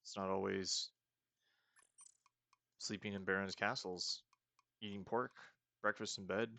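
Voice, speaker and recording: A man's voice: low-pitched, flat delivery, a little slow, gravelly, bright, forceful tone, dry recording. A man casually commenting as he plays a game, chatty and self-mocking as he thinks aloud. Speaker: let's play host.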